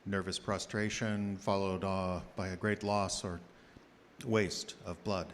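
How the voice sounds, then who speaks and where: A somber, skeptical doctor in a gothic radio play. His voice is very low and deliberate, weighted with dread. A long hall reverb and slight noise surround it. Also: little pitch movement. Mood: puzzled, subdued. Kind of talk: gothic radio drama acting and diary narration. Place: live theater stage.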